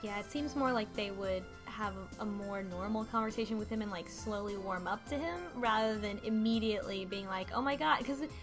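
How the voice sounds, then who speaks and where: A woman's voice: medium pitch, a little fast, few pauses. Woman casually recapping, faint music behind. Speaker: podcast co-host recapping the plot. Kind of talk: casual recap and discussion of a game's story.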